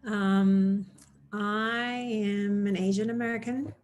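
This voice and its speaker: A woman's voice: mid-range voice, fairly even intonation, takes it slowly, a little mellow. A woman from Indonesia speaks unhurriedly on a video call from her dining room. She hesitates often and corrects herself as she introduces herself. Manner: hesitant, many fillers and self-corrections. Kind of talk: round table introduction.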